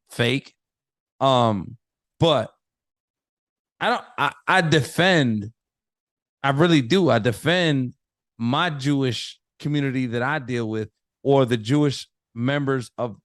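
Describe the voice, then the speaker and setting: A man's voice: medium pitch, no echo. Dry, close man telling a story. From Puerto Rican and Italian descent. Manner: measured, hesitant, with fillers. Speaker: podcast host. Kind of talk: casual discussion, telling a story.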